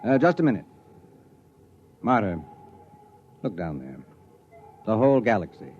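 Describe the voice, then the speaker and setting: Weary spaceship commander, muffled old radio. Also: male voice, lowish voice, big pitch swings, unhurried. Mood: weary, musing. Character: weary spaceship commander, matter-of-fact, wry, pragmatic. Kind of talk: 1950s radio drama acting. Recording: dull, noisy vintage radio recording.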